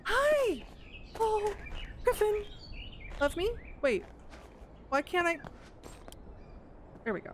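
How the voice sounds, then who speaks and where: A young woman casually commentating her Minecraft let's play, excitable and gushing over cute animals, a little hoarse. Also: very high-pitched, slow pace, long pauses, a little hiss or hum. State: slightly hoarse. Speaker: gaming YouTuber.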